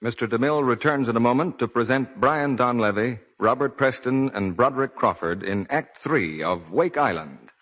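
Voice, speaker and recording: Male American announcer, vintage 1940s radio; animated, polished, explanatory, slightly muddy and husky recording. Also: medium pitch, normal speed, hardly any pauses. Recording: dull 1940s radio broadcast.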